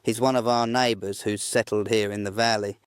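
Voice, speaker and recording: A friendly, plain-spoken settler, voiced by an audiobook narrator. His voice is lowish and smooth, recorded close and dry. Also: level tone, normal speed, no echo, natural voice. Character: friendly settler man, host.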